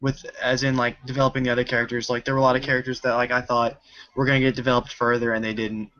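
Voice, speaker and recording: A man of medium pitch talks quickly in a casual film discussion. He peppers his remarks with filler words, and the recording is a bit dull and lo-fi. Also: level tone, pushed and bright. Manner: peppers speech with "like". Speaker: film reviewer. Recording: dull lo-fi sound.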